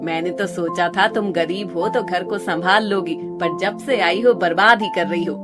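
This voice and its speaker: A woman's voice: lowish voice, normal speed, music in the background, lightly acted. A woman voicing a scolding, aggrieved older mother-in-law who nags and complains in a moral story. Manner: nagging, complaining.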